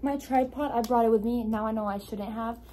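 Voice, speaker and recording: Hoarse teen girl vlogging on a phone. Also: fairly high pitch, a little fast. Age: teens. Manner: excitable, giggly, talks straight to the viewers. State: slightly hoarse, losing her voice. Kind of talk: casual vlog chat to a handheld phone camera. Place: outdoors in a city, then at home. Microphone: phone camera held at arm's length. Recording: noisy phone audio with crowd in background.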